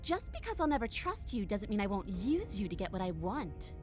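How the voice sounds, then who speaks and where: A woman's voice: mid-range voice, sing-song, a little fast, lightly acted. A woman voicing a bitter, scheming, haughty captive princess in a video game, with scornful theatrical delivery. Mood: scornful, guarded. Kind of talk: dramatic game voice acting. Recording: music behind the voice.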